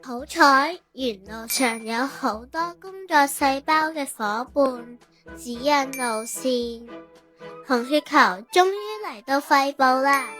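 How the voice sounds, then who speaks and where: High-voiced woman narrating in Hong Kong Cantonese. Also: animated, takes it slowly, over music. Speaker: manga narrator. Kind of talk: expressive storybook narration.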